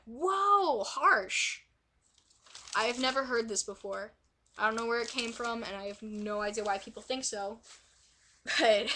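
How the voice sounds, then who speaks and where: A young woman vlogging casually, hoarse and raspy from a cold, rambling with lots of fillers and self-corrections. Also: medium pitch, bright, forceful tone, slight background noise. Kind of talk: casual vlog talk. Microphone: quiet built-in mic.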